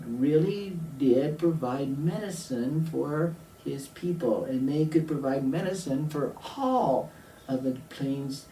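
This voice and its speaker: A man telling a story aloud to children, deliberate, with dramatic pauses and questions for the listener. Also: a little high, mellow. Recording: roomy echo, distant mic, faint noise.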